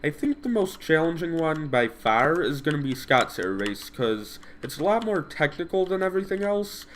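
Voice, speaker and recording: A man's voice: on the high side, big pitch swings, normal speed, slight background noise. Stuffed-up man narrating his gameplay. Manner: rambling, self-correcting, thinks aloud. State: stuffed up from a cold. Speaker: gameplay commentator. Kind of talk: casual gameplay commentary.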